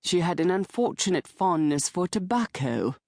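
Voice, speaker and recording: A woman voicing a thin, gloomy old man in his late sixties who speaks in a slow, formal and dryly sour way. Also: lowish voice, big pitch swings, a little mellow, no echo, clean studio sound. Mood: glum, formal. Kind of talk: audiobook character voice.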